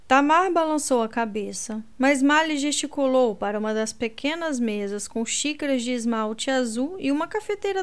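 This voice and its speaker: High, smooth-voiced woman reading aloud. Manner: calm, even first-person reading. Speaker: audiobook reader. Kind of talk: audiobook narration.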